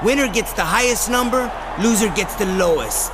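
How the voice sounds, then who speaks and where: A man's voice: high voice, normal speed, close to an everyday voice. An actor voicing an eager, self-satisfied male wrestler in a video game cutscene. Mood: goading. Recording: loud background noise.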